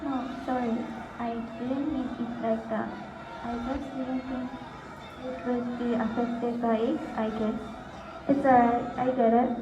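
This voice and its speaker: A woman's voice: on the high side, little pitch movement, takes it slowly. A woman playing an earnest, apologetic refugee in an amateur student radio drama. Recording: muffled echoey room with crowd babble.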